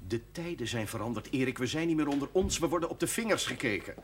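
Male actor as admonishing parish priest, dignified, lively intonation, faintly noisy old television soundtrack. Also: mid-range voice, fast talker, natural voice. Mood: uneasy. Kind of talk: television period drama acting.

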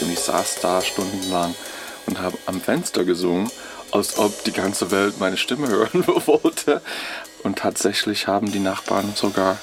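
A reflective singer reminiscing in a radio play. His voice is deep and conversational, over a bed of music. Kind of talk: reflective spoken monologue.

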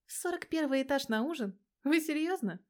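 A woman's voice: high-pitched, lively intonation, a little fast, a little mellow, pitched above the performer's own voice. A woman voicing a brash, foul-mouthed, suspicious petite policewoman in an audio story, blunt and slangy. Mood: incredulous.

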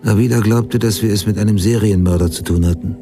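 A man's voice: lowish voice, steady tone, fast, music in the background, lightly acted. A man playing a crime writer, narrating his investigation soberly and tersely in a radio drama. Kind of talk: scripted first-person radio drama narration.

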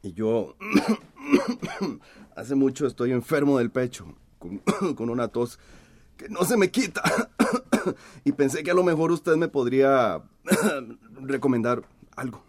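A man's voice: medium pitch, lively intonation, no echo. An actor playing a shy, hesitant man who is sick with a cough, in a radio drama. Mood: hesitant, unwell. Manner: hesitant, halting, apologetic. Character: shy, halting sick man visiting a healer.

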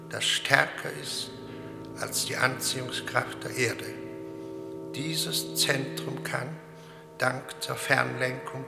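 Radio drama: self-important lecturing scientist, absent-minded, creaky mid-range voice, music behind, big reverb. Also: male voice, medium pitch. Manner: pompous, pedantic. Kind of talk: German radio play acting.

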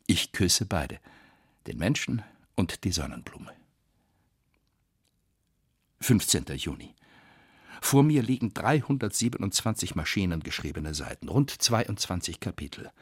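An actor playing a weary, bitter novelist, reading in a deliberate, brooding literary radio-play manner with theatrical pauses. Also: male voice, low-pitched, big pitch swings, breathy edge, no room sound, studio quality, full character voice. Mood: brooding, resigned.

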